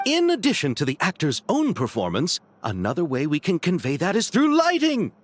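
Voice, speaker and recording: A man's voice: high voice, wildly swooping pitch, a little fast, a little hiss or hum. A man playing an enthusiastic, courteous, flamboyant film crew leader in a video game, with formal phrasing. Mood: explaining.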